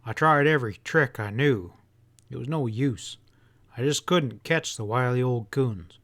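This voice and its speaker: A man reading an audiobook as an expressive first-person narrator with a folksy storytelling manner. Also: medium pitch, measured pace. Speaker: audiobook reader.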